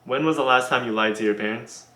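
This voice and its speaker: Young man, bright tone, casual Q&A vlogger, halting with fillers, roomy echo. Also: medium pitch. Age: young adult. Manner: relaxed, halting, many ums and restarts. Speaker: YouTuber answering viewer questions. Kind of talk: casual Q&A vlog.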